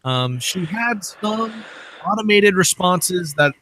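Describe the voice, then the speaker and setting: Bright, higher-voiced male interviewer. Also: lively intonation, slow, slight background noise, poor audio. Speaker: host, interviewer. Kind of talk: conversational interviewing.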